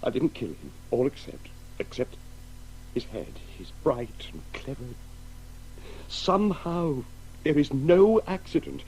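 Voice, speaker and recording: An actor playing a tormented, guilt-ridden father in a 1980s radio drama, halting and repeating himself as he broods. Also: medium pitch, very animated, darkish tone. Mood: haunted, brooding. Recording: noisy old radio broadcast with hum.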